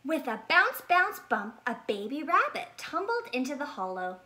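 A woman reads a children's story aloud, expressively and with deliberate enunciation. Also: high-pitched, very animated, sharp tone. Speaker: storybook reader. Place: small plain room indoors.

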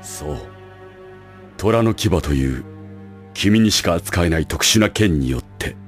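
A man playing a calm, scheming school director, measured and formal, in an anime audio drama. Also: sits low, faint music behind. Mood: calm, calculating. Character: calm, calculating male school director with secret plans.

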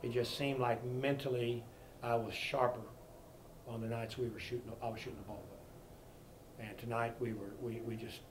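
A middle-aged man speaks as a basketball coach at a postgame press conference, seated before a fixed camera. He talks frankly and plainly in a mid-range voice, restarting and repeating words as he goes. A faint hiss sits under him. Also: medium pitch. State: disappointed. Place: press conference room before a sponsor backdrop.

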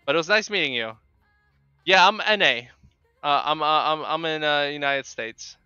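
Casting: Polish-accented man, game streamer, halting chatty delivery, dry but dull lo-fi sound, music behind. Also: fairly high pitch, pushed and bright, smooth, no room sound. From Poland. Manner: halting, repeats words. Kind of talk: casual live chat with viewers while gaming. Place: small home room with bookshelves.

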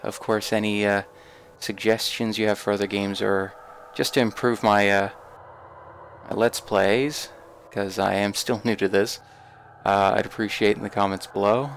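A man's voice: lowish voice, flat delivery, normal speed, slight background noise. A man hosting a let's play, giving casual, hesitant, informal game commentary as if talking to himself. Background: horror game audio.

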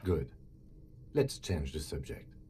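An actor playing a composed police lieutenant in a detective game, husky and restrained, with a dry, matter-of-fact manner. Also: male voice, rumbling low, sing-song, slow pace, dark tone, a little hiss or hum, heavily acted. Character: composed, dry male police lieutenant, bookish, quietly authoritative.

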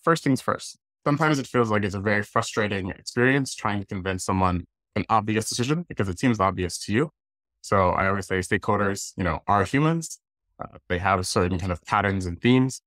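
Low-voiced American man presenting casually. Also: fairly quick, fairly bright tone, dry recording, studio quality. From Washington, D.C., United States. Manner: casual, conversational. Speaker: guest speaker, design leader. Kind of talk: informal online presentation. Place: indoors, plain room on a video call.

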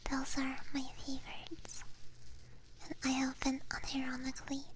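Female ASMR streamer, high-pitched, gentle breathy whisper close on a binaural mic, casual chat, noticeable noise on the recording. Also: monotone, normal speed. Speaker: ASMR live streamer, host. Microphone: close binaural ASMR microphone.

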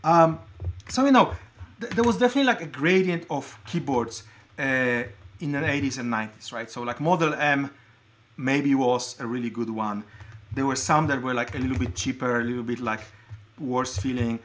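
A middle-aged man from Poland hosting a casual show-and-tell livestream, talking in a halting, conversational way and often interrupting himself. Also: mid-range voice, fairly bright tone. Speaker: livestream host showing collected keyboards. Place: indoor room at a desk.

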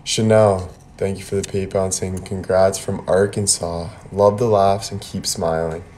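Low-voiced young man chatting casually. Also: level tone, moderate pace, darkish tone. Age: young adult. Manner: laid-back, slangy. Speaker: livestream host. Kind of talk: casual livestream chat. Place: indoor room by a window. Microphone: phone at arm's length. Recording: phone livestream with background noise.

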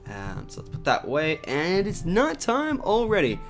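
Young Australian man, just-woken raspy voice. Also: a little high, huge pitch swings, normal speed, soft background music. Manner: chatty, rambling with many "like" and "um" fillers. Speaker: gaming YouTuber. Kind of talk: casual gameplay commentary.

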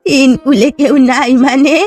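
A woman's voice: high-pitched, fairly even intonation, moderate pace, a little mellow, faint music behind, lightly acted. A woman voicing a poor, sorrowful old fisherwoman in a cartoon folk tale, plaintive and resigned.

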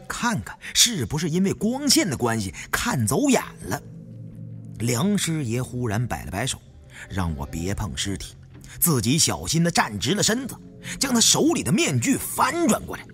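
A man's voice: mid-range voice, huge pitch swings, moderate pace, fairly bright tone, soft background music. A man narrating a suspenseful story in a theatrical, dramatic audiobook style.

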